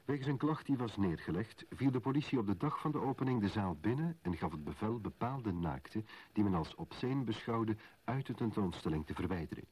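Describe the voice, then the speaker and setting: A man's voice: fairly low pitch, expressive, fairly quick, darkish tone, husky, poor audio. A man narrating facts formally in a scripted documentary style for a radio drama. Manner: formal, factual.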